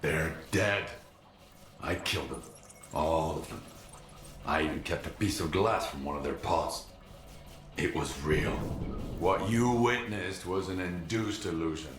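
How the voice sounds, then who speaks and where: A man acting an embittered, defiant loner in a video game cutscene, speaking in a measured, cynical, scornful way. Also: a bass voice. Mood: grim, resolute. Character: embittered, defiant male loner of a forbidden zone. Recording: roomy, echoing game audio.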